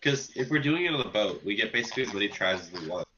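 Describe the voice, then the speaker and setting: A man's voice: lowish voice, animated, very fast, bright, forceful tone, a little hiss or hum. A man playing a tabletop game, thinking aloud about practical plans. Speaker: player. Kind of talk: casual tabletop game chat. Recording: muffled home recording.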